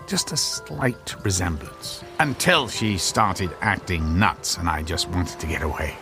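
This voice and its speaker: An actor playing a baffled, wary husband who speaks hesitantly and stammers, in a radio drama. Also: male voice, on the low side. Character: baffled, wary husband confronted by a strange woman.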